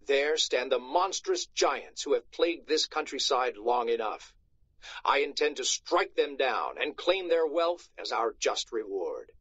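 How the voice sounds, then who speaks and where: A man voicing a grandiose, deluded gentleman knight in a storybook, declaiming pompously, on a slightly muffled recording. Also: medium pitch, animated, a little slow, breathy edge, dry recording. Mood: imperious. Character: grandiose, deluded old-fashioned Spanish knight, lean gentleman. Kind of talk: storybook read-aloud.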